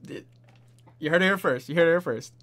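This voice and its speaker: Excitable young man talking esports. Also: fairly high pitch, fast talker. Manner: animated, hype-driven, bold opinions. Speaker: host. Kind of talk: casual esports predictions chat.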